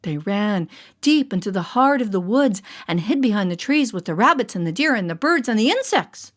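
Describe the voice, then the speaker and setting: A woman narrating a children's story in a theatrical, deliberate way, with music behind her. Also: mid-range voice, big pitch swings. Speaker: storyteller and voice artist.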